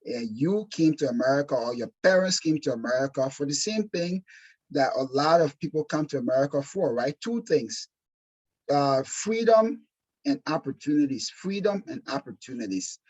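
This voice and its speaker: A man's voice: fairly high pitch, animated, normal speed, a little mellow. A man with a Liberian accent presenting a webinar course as instructor, speaking earnestly and emphatically and repeating phrases for stress. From Liberia, West Africa. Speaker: insurance exam course instructor.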